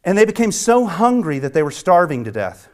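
A man from the American South preaching a sermon with a Southern accent, earnest and emphatic, repeating phrases and dropping at times to a hush. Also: high voice, very animated, a little fast. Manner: swings from hushed near-whisper to loud emphatic preaching. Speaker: pastor.

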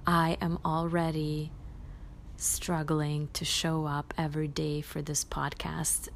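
A woman whose first language is Bosnian, hosting a casual solo podcast and talking in a rambling, self-reflective way that drops into soft near-whispers. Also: sits low, a little hiss or hum. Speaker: podcast host.

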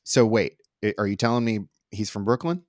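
A man's voice: on the low side, a little fast, dry recording, studio quality. A man hosting a casual discussion of a movie trailer, speaking with enthusiasm and asking the others for their opinions. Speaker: podcast host.

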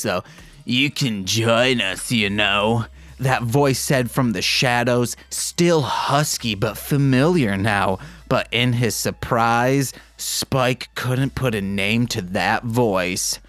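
A man's voice: medium pitch, expressive, takes it slowly, few pauses, fairly bright tone, no echo, faint music behind. A man narrates fan fiction as a comedy podcast host, taking his time in a very dry, close recording. He plays the prose as mock-sultry, then undercuts it with self-deprecating asides in a casual tone.

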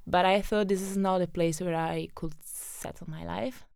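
A woman's voice: lowish voice, steady tone, normal speed, vocal fry, a little mellow, clear, resonant tone, no room sound, clean studio sound. A young woman from southern Italy with an Italian accent, chatting as a podcast guest and telling her story with frequent fillers and laughs. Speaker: guest, data scientist. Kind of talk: casual interview storytelling.

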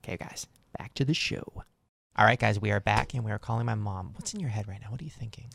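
A man's voice: lowish voice, fast talker, gravelly, a bit bright, a little hiss or hum. A young man hosting a casual chat show, brash, crude and playful. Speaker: host. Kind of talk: casual podcast chat and ad read.

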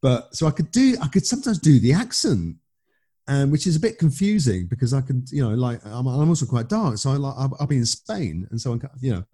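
A man's voice: medium pitch, very animated, a little fast, dark tone, breathy edge. A man, a comedian and actor, chatting casually in an interview, self-mocking and often restarting his sentences.

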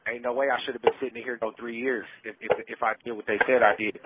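A man's voice: mid-range voice, level tone, rapid-fire, heavy background noise. A man giving heartfelt, faith-filled personal testimony in casual vernacular over a prison phone call, repeating himself for emphasis. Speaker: incarcerated storyteller. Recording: muffled, band-limited prison phone call.

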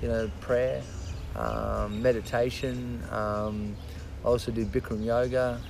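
A man chatting as a podcast guest, halting and full of restarts and fillers, swearing casually. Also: mid-range voice, level tone, creaky. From Australia. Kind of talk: casual interview chat.

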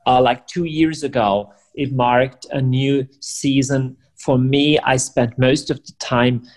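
A man's voice: mid-range voice, fairly even intonation, takes it slowly, poor audio. A man in his forties from Germany, a native German speaker talking in English with a German accent, as a guest on a podcast. He speaks reflectively and stammers repeats when starting a thought. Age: 40s. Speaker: guest, prayer house leader. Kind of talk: podcast interview.

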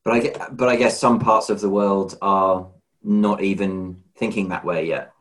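A man's voice: on the low side, steady tone, moderate pace, a bit bright. A man hosting a podcast, asking questions in a hesitant way and often repeating words as he searches for them. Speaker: podcast host. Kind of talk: conversational interview.

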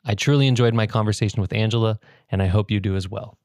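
A man's voice: on the low side, steady tone, fast, heavy background noise. A man hosting an interview podcast, talking in a hesitant way with many ums and restarts. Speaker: podcast host. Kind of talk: conversational interview.

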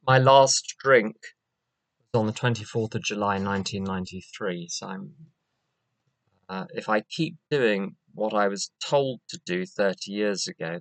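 Wry older Londoner sharing at a meeting. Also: male voice, lowish voice, no room sound. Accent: London English. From England. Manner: measured, wry and reflective, with fillers. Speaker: AA meeting speaker. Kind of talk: personal share at a recovery meeting. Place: meeting room.

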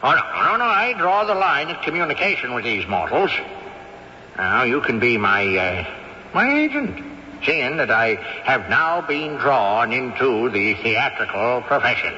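A man's voice: mid-range voice, very animated, measured pace, sharp tone, big-room sound, full character voice. An actor playing a cantankerous, haughty old male ghost who drives a hard bargain, in a radio drama. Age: older. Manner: haughty, indignant, grandly formal. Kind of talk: 1970s radio drama acting. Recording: dull old radio recording with faint hiss.

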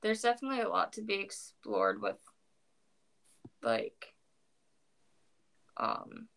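A young woman talks through poems in a loose, rambling way, full of "like" and restarts. Her voice has a gravelly creak, and she sounds worn out after a long day. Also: mid-range voice, big pitch swings, slow, a bit bright. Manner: rambling, many fillers, self-corrections. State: tired. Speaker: co-host discussing poetry. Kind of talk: casual chat.

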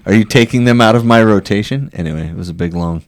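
Deep-voiced male co-host, laid-back banter, quick with a joke. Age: adult, married. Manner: joking, tells stories with quoted voices. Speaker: podcast co-host. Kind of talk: casual chat.

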